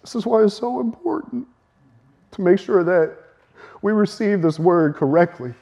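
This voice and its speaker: A man's voice: high-pitched, expressive, creaky, lo-fi sound. A man preaching a sermon earnestly, his voice a little strained, speeding up in bursts. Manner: earnest, with fast bursts and soft laughs. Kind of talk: sermon teaching.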